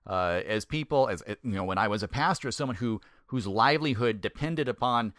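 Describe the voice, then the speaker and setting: Folksy, dry-sounding former pastor teaching. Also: male voice, fairly low pitch, animated, a bit bright, no echo. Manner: folksy and self-deprecating, slows down to stress key points. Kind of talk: conversational Bible teaching.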